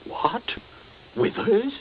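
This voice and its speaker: An actor playing a bluff, jovial British captain with hearty clipped phrases, in a 1940s radio drama style. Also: male voice, drawn-out words. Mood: startled. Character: bluff English military captain, blustering, jovial neighbour. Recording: dull, hissy 1940s radio broadcast.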